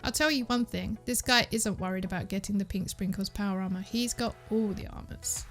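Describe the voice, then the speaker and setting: A woman's voice: medium pitch, a little fast, over music. A woman narrating a scripted gaming news recap as the host of an online show.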